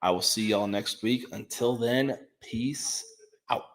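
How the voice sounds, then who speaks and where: A man's voice: medium pitch, takes it slowly. Laid-back male sports podcast host. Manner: loud laid-back bro talk, says "hell yeah" a lot. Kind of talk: casual sports talk show.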